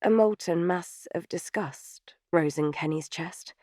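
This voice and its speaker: A woman's voice: fairly low pitch, unhurried, mellow, no room sound, clean studio sound. A woman reading scripted third-person fiction as an audiobook narrator.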